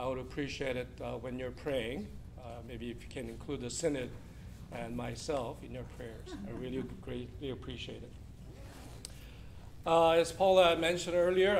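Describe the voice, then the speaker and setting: A man from South Korea, a church official giving a loud, chatty talk to a congregation in a church hall. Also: medium pitch, a little hiss or hum. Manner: loud, projecting, chatty with the audience. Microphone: weak room microphone.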